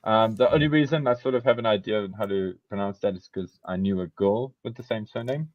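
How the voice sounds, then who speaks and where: A man's voice: fairly low pitch, fairly quick, no room sound. Guy on a podcast, rambly, keeps correcting himself, kinda muffled dry audio. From South Africa. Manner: rambling, self-correcting, laughs often. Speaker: podcast host. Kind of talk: casual podcast chat.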